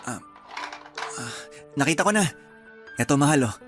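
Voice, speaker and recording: A man playing a gentle, apologetic young husband in a Filipino radio drama, hesitant and placating, with a Filipino accent. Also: medium pitch, animated, normal speed, faint music behind, close to an everyday voice. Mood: helpful. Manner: hesitant, placating, soft-hearted.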